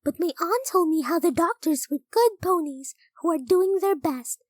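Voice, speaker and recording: Very high, gentle young pony, voiced by a woman. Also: expressive, normal speed, dry recording, studio quality. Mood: gentle reassurance. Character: gentle, comforting youthful female pony friend. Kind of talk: animated audio drama acting.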